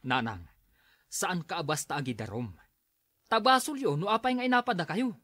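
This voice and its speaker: A man's voice: high-pitched, animated, moderate pace, sharp tone, no room sound, studio quality, exaggerated voice. A man acting an earnest schoolteacher son in an Ilocano radio drama, worried and pleading as he keeps peace. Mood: urgent pleading.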